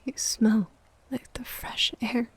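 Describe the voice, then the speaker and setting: A woman's voice: a little high, little pitch movement, takes it slowly, husky, no echo, heavily acted. A woman playing a jealous, needy, wounded girlfriend in an ASMR roleplay audio drama, sighing and often dropping toward a whisper. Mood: tender, pleading.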